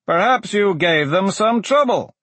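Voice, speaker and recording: A man voicing an older, stern and sardonic magistrate with measured, formal speech in a fantasy audiobook. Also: high-pitched, expressive, a bit bright, no echo, voice pushed up, full character voice. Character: older grey-browed male magistrate, dry, stern, sardonic. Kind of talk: fantasy audiobook character dialogue.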